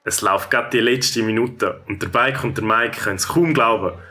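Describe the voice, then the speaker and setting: Bright-voiced Swiss man narrating. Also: mid-range voice, normal speed, a little hiss or hum. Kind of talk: scripted children's story narration.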